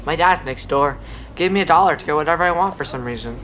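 A woman's voice: low-pitched, fast, a bit bright, a little hiss or hum, low-quality recording. A woman acting in an audio drama as a friendly, frank twelve-year-old boy who talks in a casual, matter-of-fact way. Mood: friendly, curious.

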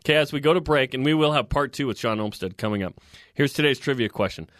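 A man hosting a sports talk radio show, recapping results and reading trivia in a steady, informative way. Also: mid-range voice, fast talker, dry recording, studio quality.

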